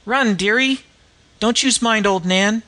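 A man's voice: high-pitched, slow pace, bright, forceful tone, voice pushed up, heavily acted. A man putting on a screeching slum hag. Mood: frantic. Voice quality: screeching, cackling. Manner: caustic, coarse street talk. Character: woman disguised as a shrill slum hag.

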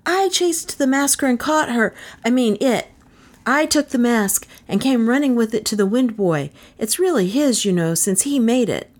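A woman voicing an eager, honest young boy, earnest and excitable, in a storybook. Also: fairly high pitch, moderate pace, voice pushed up. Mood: indignant, pleading.

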